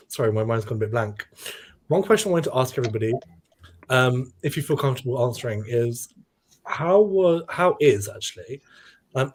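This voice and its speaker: A man of Pakistani heritage hosting a casual chat, friendly and joking, a little scattered. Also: mid-range voice.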